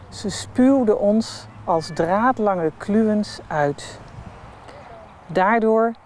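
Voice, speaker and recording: A woman reciting poetry in measured, solemn phrases with pauses. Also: mid-range voice, darkish tone. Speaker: poet. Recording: faint ambient noise.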